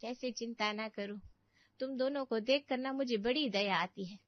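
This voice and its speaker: A woman's voice: a little high, normal speed, no echo, muffled recording, natural voice. A woman voicing a critical, meddling older aunt in a moral-story cartoon, lecturing and scolding with emphatic delivery. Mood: nosy, fussing.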